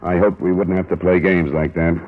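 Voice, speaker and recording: A man's voice: deep voice, little pitch movement, a little fast, close to an everyday voice. A male actor with an American accent playing a terse, world-weary investigator in an old-time radio detective drama, clipped and pressing. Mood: urging, stern. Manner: clipped, pressing, matter-of-fact. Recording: tinny old radio recording, music.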